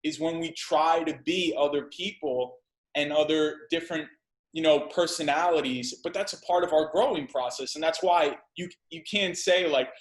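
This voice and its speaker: A man in his thirties with a fairly high voice talks as a podcast guest. He is emphatic and loose, doubling back on phrases and dropping casual curses. The sound bounces around an echoey room. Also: normal speed. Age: 30s. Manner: emphatic, repeats himself, casual swearing. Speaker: guest entrepreneur.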